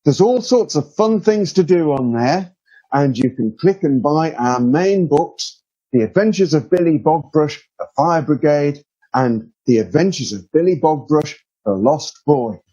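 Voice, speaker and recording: A man narrating a children's storybook in an expressive, drawn-out storytelling delivery. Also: a little high, breathy edge, dull audio. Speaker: author reading a children's story.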